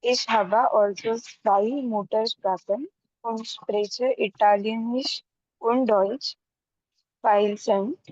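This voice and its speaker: Girl student reading german slow n careful, muffled call audio, dry. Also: on the high side, fairly even intonation, darkish tone, dry recording. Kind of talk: reading a text aloud in class. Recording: dull video call audio.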